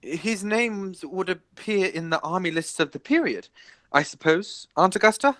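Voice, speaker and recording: A performer playing an earnest, romantic young English gentleman, declaiming in a radio play of a stage comedy. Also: medium pitch, lively intonation, normal speed, no echo, natural voice. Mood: curious.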